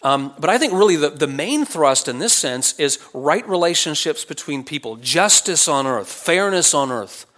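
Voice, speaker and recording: A man preaching in an expository style, reading verses and explaining them conversationally, posing questions and answering them, swinging between emphatic phrases and quieter asides. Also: on the high side, animated, moderate pace, few pauses. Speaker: preacher teaching scripture.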